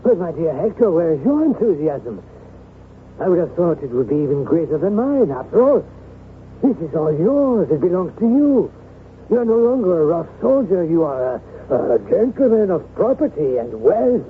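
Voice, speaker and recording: A narrow, lightly noisy vintage radio recording carries an effusive, genteel gentleman. He is florid and exclamatory, an excitable friend with refined speech. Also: a little high, normal speed. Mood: delighted, admiring. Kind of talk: 1970s radio drama acting. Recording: phone-like old radio broadcast, faint noise.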